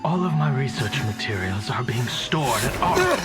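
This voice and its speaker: A man voicing a grave, melancholy scholar obsessed with his research, speaking formally and deliberately in a dramatic video game style. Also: medium pitch, huge pitch swings, loud music behind the voice. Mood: solemn, expectant. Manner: solemn, formal, deliberate speech.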